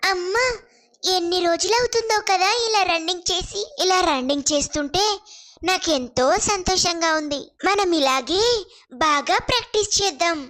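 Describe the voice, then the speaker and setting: An earnest, dutiful young woman in a storybook cartoon, acted by a woman. Her voice is very high and her manner polite. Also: normal speed, a bit bright. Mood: earnest, happy.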